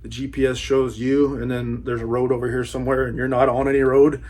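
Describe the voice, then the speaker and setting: A man's voice: medium pitch, flat delivery, brisk, a little mellow. Casual, enthusiastic man reviewing books. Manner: enthusiastic, laid-back slangy delivery. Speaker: book reviewer, channel host. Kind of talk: casual book review chat.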